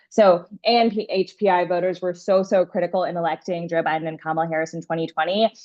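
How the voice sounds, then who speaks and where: Bengali-native woman organizer, excited talk, kinda muffled call audio. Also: lowish voice. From Bangladesh. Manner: fast, enthusiastic, upbeat talk with restarts. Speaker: campaign organizing director. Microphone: video or phone call.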